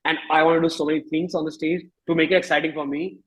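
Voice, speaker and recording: Reflective Indian-accented man, slightly husky. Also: a little high, level tone, a bit bright, low-quality recording. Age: 30s. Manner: reflective, halting, rephrasing often. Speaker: musician interview guest. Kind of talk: candid conversational interview.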